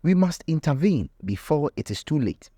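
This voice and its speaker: A man voicing a stern, concerned woman elder of a village in a folktale, speaking gravely and admonishing. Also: on the high side, sing-song, moderate pace, dark tone, no echo, studio quality.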